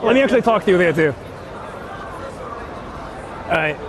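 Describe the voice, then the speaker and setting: A man's voice: high-pitched, expressive, normal speed, a crowd in the background. A man working as a livestreamer, chatting casually with jokey slang as he interviews people outdoors. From Japan. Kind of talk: casual street livestream chat. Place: outdoors on a Tokyo street. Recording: noisy crowded city street.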